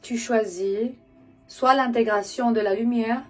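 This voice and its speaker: A woman speaks in a deeply breathy, airy voice, drawing out her words very slowly. She delivers a soothing spiritual message as a second-language speaker, halting and correcting herself now and then. Faint music plays softly behind her. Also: steady tone. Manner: gentle, soothing, halting with pauses and self-corrections. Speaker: spiritual messenger. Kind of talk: slow meditative spiritual message to viewers.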